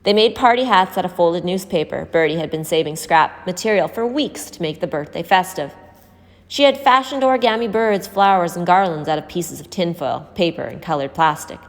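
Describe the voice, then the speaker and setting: A woman's voice: on the low side, brisk. A woman reading a scripted story aloud as an audiobook narrator. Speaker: audiobook reader. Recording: echoey hall-like reverb.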